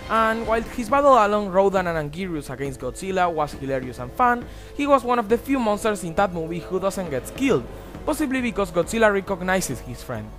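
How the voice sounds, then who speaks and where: A young man narrates in a high, very animated voice. His delivery is emphatic and punchy, full of exclamations and crude asides. Faint music plays under his scripted voice-over. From Argentina. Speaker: countdown video host.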